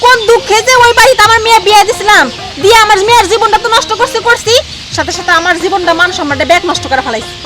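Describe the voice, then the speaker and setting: A woman acts out a scornful, snobbish mother-in-law in a comedy drama. She scolds haughtily in an extremely high, fast voice with a Bangladeshi accent. Loud music plays underneath. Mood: disdainful. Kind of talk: Bengali comedy drama acting.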